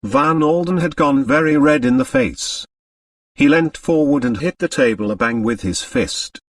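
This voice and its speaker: A man's voice: medium pitch, moderate pace, dry recording, distant mic. A man reading a mystery novel as scripted, dramatic audiobook narration.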